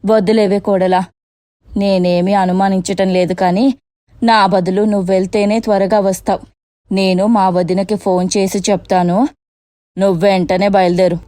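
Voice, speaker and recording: A woman voicing a suspicious, bossy middle-aged mother-in-law in a cartoon moral story, with colloquial, sharp-tongued speech. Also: medium pitch, flat delivery, normal speed, no echo, clean studio sound, close to an everyday voice. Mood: conceding.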